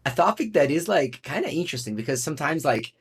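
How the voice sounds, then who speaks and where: A man's voice: on the high side, big pitch swings, husky. A man co-hosting a podcast. He talks casually and often stops to restart with "uh". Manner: halting, with many "uh" restarts. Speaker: podcast co-host. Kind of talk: casual chat.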